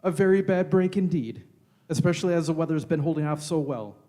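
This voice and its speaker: A man's voice: on the high side, a little fast, mellow, lightly acted. A man playing a chummy, bemused cricket co-commentator in a radio play, with a droll, chatty manner.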